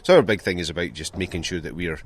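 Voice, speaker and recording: Male coach, low pitch, measured and hesitant, faint outdoor noise. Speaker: rugby club coach being interviewed. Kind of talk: pre-match sports interview.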